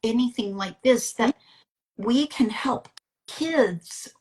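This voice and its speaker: A woman's voice: on the high side, lively intonation, slow pace, darkish tone, low-quality recording. Earnest woman telling personal stories in conversation. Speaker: interviewer and storyteller who works with teens. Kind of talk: conversational interview, personal anecdotes.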